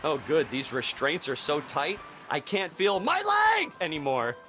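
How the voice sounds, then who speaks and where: A man's voice: fairly high pitch, huge pitch swings, unhurried, sharp tone, faint music behind, heavily acted. A man voicing a hapless, distressed cartoon townsperson in a video game, with an exaggerated delivery. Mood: pained.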